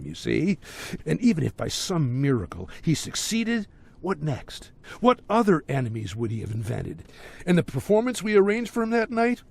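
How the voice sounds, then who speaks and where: An actor playing a jovial but menacing small-town crime boss in a game cutscene, rambling with folksy sarcasm and rhetorical questions. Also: male voice, fairly high pitch, gravelly, a little mellow, heavily acted. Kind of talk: video game cutscene acting.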